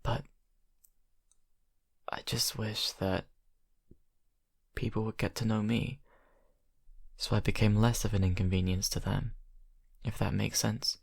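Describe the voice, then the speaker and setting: A young man acting a shy college vampire who confides haltingly and softly to his best friend in an ASMR roleplay. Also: lowish voice, level tone, gravelly, husky, no room sound, clean studio sound, heavily acted. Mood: wistful, hurt. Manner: hesitant, halting, soft-spoken confiding. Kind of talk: ASMR roleplay, intimate improvised monologue.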